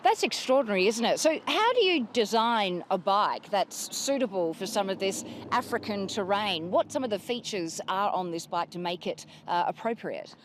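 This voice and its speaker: A woman's voice: medium pitch, lively intonation, normal speed, few pauses, slight background noise. Polished woman news interviewer. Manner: polished, inquisitive, clear questions. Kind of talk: broadcast interview hosting.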